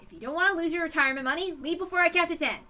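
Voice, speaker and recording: A woman voicing an idealistic, hot-blooded young male policeman in an emphatic, excitable way for a story reading. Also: very high voice, racing, pitched above the performer's own voice. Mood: fired up.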